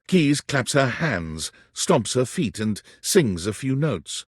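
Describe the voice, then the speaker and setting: A man's voice: medium pitch, husky, no echo, clean studio sound. A man playing an erudite, theatrical narrator in a whimsical game, delivering florid scripted lines with precise wording. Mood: storytelling, measured.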